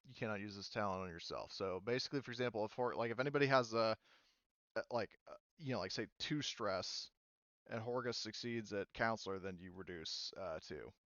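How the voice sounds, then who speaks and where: A man with a smooth, clear voice runs a tabletop game in a casual way. He explains things haltingly and conversationally, recorded close and dry with a slightly muffled top end. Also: on the low side, fairly bright tone, no echo. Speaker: game master. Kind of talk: casual tabletop game narration and rules talk.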